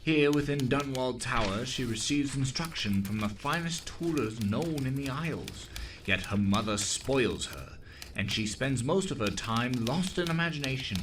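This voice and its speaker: A man's voice: medium pitch, distant mic, noisy background, voice pushed up. A man voicing a stern, disapproving old spymaster reciting his memoir in a formal, disdainful manner for an audiobook.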